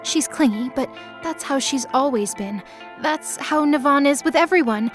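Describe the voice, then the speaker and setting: Female narrator for dramatic myth fiction: higher-pitched voice, scripted storytelling delivery over a clear music bed. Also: normal speed.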